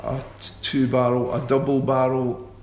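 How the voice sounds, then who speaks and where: A middle-aged man guesting in a radio interview, talking in an unhurried, self-deprecating and wry way. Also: mid-range voice, completely flat delivery, a little mellow, a little hiss or hum. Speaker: guitarist guest. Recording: roomy echo.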